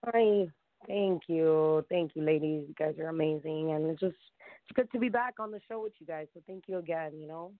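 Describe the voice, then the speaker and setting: Woman from florida, low voice, laid-back chat, tinny dry phone sound. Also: normal speed, dry recording. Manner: relaxed, laughs often. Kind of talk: casual chat.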